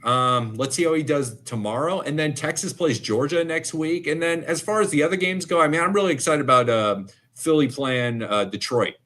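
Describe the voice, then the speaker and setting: A man's voice: on the high side, a bit bright. A man co-hosting a sports podcast, talking casually and thinking aloud with plenty of ums and restarts. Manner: thoughtful, halting with fillers. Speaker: podcast co-host and football analyst.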